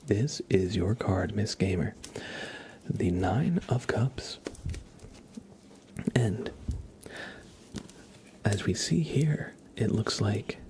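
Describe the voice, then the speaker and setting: A man hosting an ASMR livestream, giving card readings in a gentle, halting way as he thinks aloud. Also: lowish voice, animated, slight background noise. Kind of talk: whispered ASMR, casual tarot card reading.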